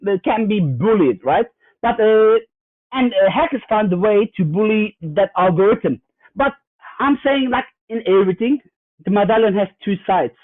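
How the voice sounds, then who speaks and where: High-voiced man on a tinny remote line. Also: no echo. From Germany. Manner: earnest, lots of hesitant fillers and restarts. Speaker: guest cybersecurity executive. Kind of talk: fireside chat, explaining with examples.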